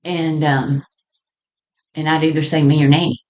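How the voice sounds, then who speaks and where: A woman telling personal stories in a halting, conversational way with frequent small chuckles as she reminisces. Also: sits low, little pitch movement, darkish tone, muffled recording. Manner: halting and conversational, often chuckling mid-sentence. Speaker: storyteller sharing personal experience.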